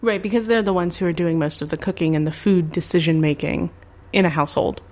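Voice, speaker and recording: A woman's voice: fairly low pitch, fast talker, a little mellow. A woman hosting a podcast interview, asking questions in a friendly conversational way. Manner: conversational, affirming, light laughs. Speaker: podcast host.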